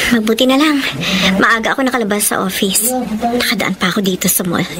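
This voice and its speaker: Actress as smitten young girlfriend, high voice, very lively intonation, easily flustered, radio drama, music behind. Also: natural voice. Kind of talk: Tagalog romantic radio drama acting.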